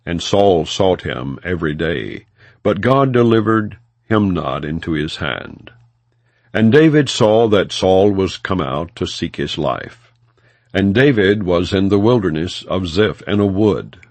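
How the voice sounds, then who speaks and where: A man with a low voice narrates scripture in a formal, measured way. His pitch moves expressively through each phrase, yet he reads plainly as a narrator without acting out parts. The recording is close and dry, with no room sound. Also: expressive, a little mellow, dry recording. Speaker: audiobook Bible reader. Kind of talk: non-dramatized scripture narration.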